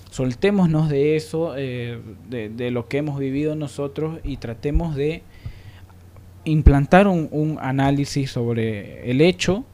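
A man's voice: fairly high pitch, dark tone. A man hosting an unscripted radio panel, playful and probing, repeating words for emphasis. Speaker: radio talk show host.

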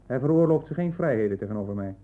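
A man's voice: mid-range voice, expressive, racing, natural voice. An actor as a curt, bossy man on old radio. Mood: firm, admonishing. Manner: curt, commanding. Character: exasperated, bossy, self-assured man warning his unstable friend. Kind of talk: 1960s radio play acting. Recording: muffled narrowband old radio recording.

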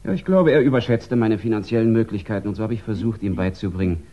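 A male actor plays a reluctant businessman in an old radio crime play. His voice is very low and soft-edged, and he answers with measured, guarded hesitation. The 1960s recording sounds muffled. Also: lightly acted. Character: discreet, reluctant businessman giving testimony. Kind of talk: 1960s German radio crime drama acting.

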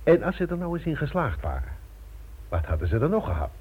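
Radio drama schemer: rough-voiced, insistent salesman of crime, highly animated, thin band-limited recording. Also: brisk, narrow, tinny audio, heavily acted. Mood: eager, insistent. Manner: insistent, persuasive, rhetorical. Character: eager, scheming male security-firm employee pitching a heist. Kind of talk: Dutch radio play acting.

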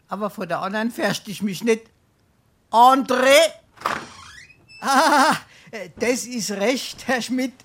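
A roguish drunken sponger from a comic dialect play. He drawls slowly in a Hessian accent, hamming up every plea with theatrical flourish. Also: very high voice, a bit bright, exaggerated voice. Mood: scheming, wheedling. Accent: Hessian, Darmstadt dialect. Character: roguish, boozy male sponger, theatrical and wheedling. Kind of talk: comic dialect stage acting.

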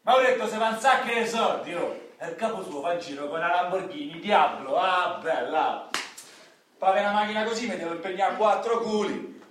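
A man's voice: sits high, a little fast, a bit bright. An actor playing a cocky, vulgar working-class man with a Roman accent in a radio drama, coarse and boastful. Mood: brash. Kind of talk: radio drama stage dialogue. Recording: roomy echo, distant mic, faint noise.

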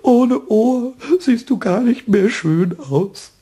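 An actor playing a mischievous, childish little male kobold in a radio play, with a high squeaky, giggly voice that stammers when he gets excited. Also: takes it slowly. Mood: playful. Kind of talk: 1960s radio play acting.

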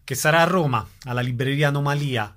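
A man's voice: mid-range voice, quick pace, a bit bright. A man announcing an event in a scripted radio promo.

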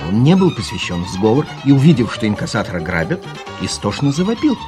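A man's voice: mid-range voice, big pitch swings, quick pace, over loud music. A man reading scripted literary narration for an audio play, telling the story expressively.

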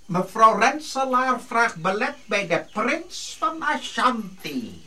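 A man's voice: very high-pitched, very animated, takes it slowly, pushed and bright, heavily acted. An actor playing a put-upon Javanese manservant in a radio drama, deferential yet frank with his master. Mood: anxious, announcing.

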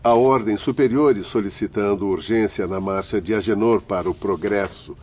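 A man's voice: fairly low pitch, moderate pace, darkish tone, natural voice. A male actor playing a formal spirit official in a radio drama. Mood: businesslike, serious. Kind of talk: radio drama acting in Portuguese. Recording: dull lo-fi audio, faint noise.

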